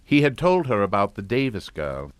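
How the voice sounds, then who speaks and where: An actor playing a politely menacing upper-class English father in a radio suspense drama, speaking in a clipped, formal, deliberate way. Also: fairly low pitch, big pitch swings, dry recording. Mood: cold calculation. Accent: British.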